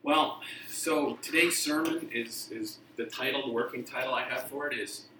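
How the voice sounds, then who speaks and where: A man's voice: medium pitch, moderate pace, sharp tone, recorded from a distance, a little hiss or hum. A middle-aged man from Texas, his accent mostly faded, preaching a sermon as a guest pastor with a conversational, self-deprecating humor. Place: church sanctuary. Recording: roomy church sound from off-mic.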